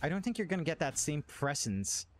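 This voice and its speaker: Higher-voiced male esports caster, analytical. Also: big pitch swings, fairly quick, faint music behind. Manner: analytical play-by-play. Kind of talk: live game commentary.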